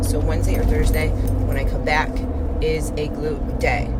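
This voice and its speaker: A woman with a cold and a froggy, hoarse voice, chatting casually as a fitness vlogger and rambling and correcting herself as she goes. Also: low voice, heavy background noise. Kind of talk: casual vlog chat.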